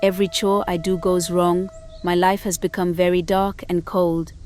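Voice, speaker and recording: A woman's voice: fairly low pitch, level tone, normal speed, darkish tone, soft background music, lightly acted. A woman voicing a helpless, sorrowful young bride in a folktale, with a plaintive manner. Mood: despairing. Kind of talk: acted folktale dialogue.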